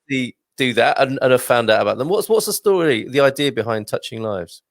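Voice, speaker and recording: A man's voice: mid-range voice, expressive, fast talker, dry recording, studio quality. A man hosting a casual chat show, friendly and enthusiastic, often stumbling over restarts as he introduces and questions his guest. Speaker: host.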